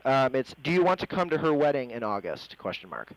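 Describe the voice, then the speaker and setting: A man's voice: medium pitch, sing-song, brisk, dry recording, poor audio. Casual American man interviewing, close dry sound. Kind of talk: casual phone interview, reading out reader questions.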